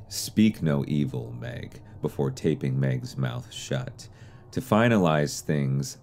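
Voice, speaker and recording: A man giving measured, somewhat tentative explainer commentary on horror videos as the host and narrator. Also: extremely low pitch, a little mellow.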